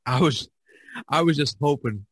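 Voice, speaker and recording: A man with a bright, forward voice and a dry, close sound. He hosts in a casual, jokey style, rambling from one thought to the next. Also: on the high side, measured pace, husky, dry recording. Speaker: podcast host. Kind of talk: casual, chatty discussion. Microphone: online video call.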